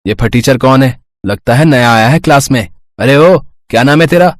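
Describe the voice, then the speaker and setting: A man's voice: medium pitch, normal speed, no room sound, lightly acted. A man playing a wayward but sincere, loyal schoolboy in a Hindi moral story, with an earnest, emotional delivery. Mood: scornful.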